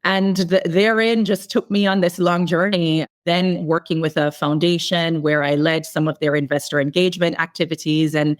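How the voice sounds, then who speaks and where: A woman's voice: lowish voice, fairly even intonation, moderate pace, hardly any pauses, no echo. A woman from Jamaica, a managing director, recounting her career path in an interview. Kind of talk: podcast interview.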